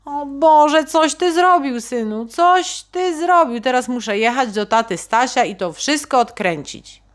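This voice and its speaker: A shocked, scolding storybook father, as a woman reader plays him. He exclaims with exasperation, stretching out his words. The intonation is highly animated and the pace slow. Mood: dismayed, exasperated.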